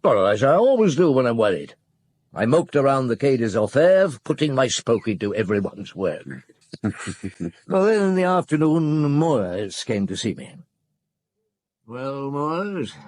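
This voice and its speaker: A man playing a weary, thoughtful middle-aged chief police inspector in a radio drama, questioning in an unhurried way with murmurs and sighs. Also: mid-range voice, very animated, darkish tone, dry recording. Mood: pensive, troubled.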